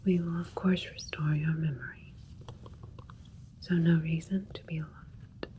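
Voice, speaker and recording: A woman playing a calm, clinical alien doctor in an ASMR sci-fi roleplay, speaking in a gentle, reassuring breathy whisper. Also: low-pitched. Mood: calm, explanatory. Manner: slow, gentle, reassuring, formal and procedural. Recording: faint steady spaceship ambience noise. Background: soft alien spaceship ambience.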